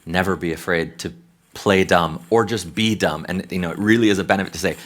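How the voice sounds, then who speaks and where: A man's voice: low voice, a little fast, fairly bright tone. An American man, a consultant, answering questions on a conference panel in a thoughtful, conversational way with frequent restarts. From United States. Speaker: behavioral science consultant.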